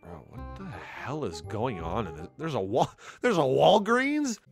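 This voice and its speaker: A man's voice: mid-range voice, wildly swooping pitch, unhurried, vocal fry. A young man riffing through a social VR session, his voice leaping up and down as he laughs and swears in casual slang. Game music plays underneath him the whole time. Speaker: YouTuber exploring a social VR game. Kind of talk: casual gaming commentary.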